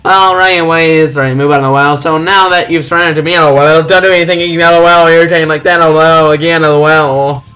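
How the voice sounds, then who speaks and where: A man's voice: a little high, fairly even intonation, pushed and bright, clear, resonant tone, soft background music. A man voicing a smug, taunting young internet troll, mocking and drawing out his taunts. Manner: mocking, drawn-out spelled-out laughing acronym.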